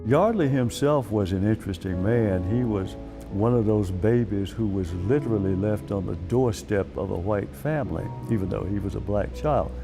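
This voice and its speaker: A man's voice: on the low side, moderate pace, gravelly. Man telling history over guitar music. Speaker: documentary interviewee, history expert. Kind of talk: storytelling interview talk.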